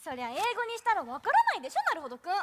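A woman's voice: extremely high pitch, very animated, very fast, a bit bright, slight background noise, heavily acted. A woman playing a playful, childlike teenage girl, teasing and eager in a comic stage play. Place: theatre stage. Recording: theatre recording, prominent music behind.

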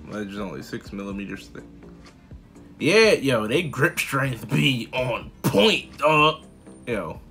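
An American man around his thirties giving casual, slangy reaction commentary as a video host, expressive and full of exclamations. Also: medium pitch, takes it slowly, soft background music. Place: small bedroom indoors.

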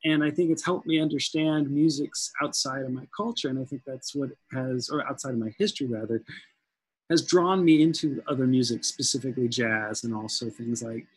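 A man's voice: medium pitch, brisk, breathy edge, dry recording, poor audio. A man in his forties speaking as a guest music professor on a panel video call, thoughtful and conversational, with a headset mic in a room at home. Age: 40s. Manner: thoughtful, conversational, many fillers.

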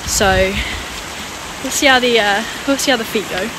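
A woman's voice: fairly high pitch, moderate pace. A woman vlogging outdoors on a hike, talking in a casual, reflective way. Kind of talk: casual reflective chat while hiking. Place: outdoors on a hiking trail. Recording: very noisy outdoor wind and water sound.

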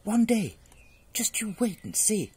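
A cheeky little storybook mouse, acted out by a man, pitched well up and swooping about. He is boastful and eager, with a rough, breathy edge to his small voice. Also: very animated, a little slow, a little mellow, exaggerated voice. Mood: plucky, earnest. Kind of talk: storybook fable character voice.

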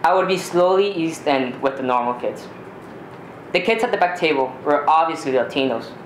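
A teenage male from Ecuador, a native Spanish speaker, reading a personal story aloud in a measured, deliberate way with occasional stumbles. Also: a little high, expressive. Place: small community theater stage. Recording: roomy live stage recording, faint noise.

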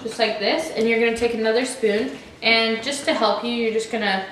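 A teenage girl with a fairly high voice explains each step in a plain, matter-of-fact way. She sounds like she is speaking in a roomy home kitchen. There is clear noise in the background. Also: steady tone, a little fast, fairly bright tone, far from the mic. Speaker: vlog host. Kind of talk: casual step-by-step cooking demo. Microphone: far camera on a tripod. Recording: roomy echoey kitchen, noisy.

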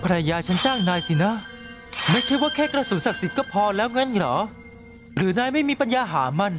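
A haughty royal demon prince, voiced by a man who mocks with dry sarcasm. His voice is very high and bends about freely, with clear music playing under it. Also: expressive, fairly quick. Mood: mocking. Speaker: voice actor in a fan dub. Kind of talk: cartoon fan dub acting.

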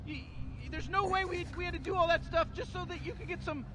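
A very high, squeaky teenage boy who stammers over nearly every phrase. He sounds nervous and whiny, tripping over his words as he protests. The recording picks up open outdoor street sound. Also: steady tone, fast. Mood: uneasy.

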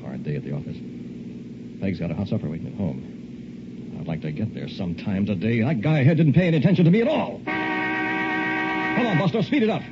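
A man's voice: medium pitch, very animated, fairly quick, long pauses. A man playing an impatient, tired office worker driving home in a 1960s radio drama, on an old recording. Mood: aggressive. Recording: old muffled radio recording with loud music.

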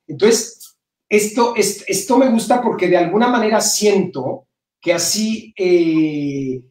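A middle-aged man from Mexico with a Mexican accent touched by Spain, talking casually and haltingly as an interview guest. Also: high voice, darkish tone. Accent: Mexican Spanish with some Spain influence. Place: indoor room at home, on a video call. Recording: roomy, distant-mic video call.

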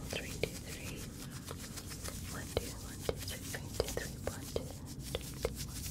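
A woman in an ASMR medical roleplay, speaking in a breathy whisper as a careful examiner who gently gives step-by-step instructions and reassurance. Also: fairly high pitch.

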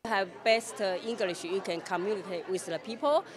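A woman's voice: mid-range voice, moderate pace, crowd noise. A middle-aged woman from Taiwan, a native Mandarin Chinese speaker, talking in simple, broken English in an interview in a restaurant hall. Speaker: restaurant owner and adult learner.

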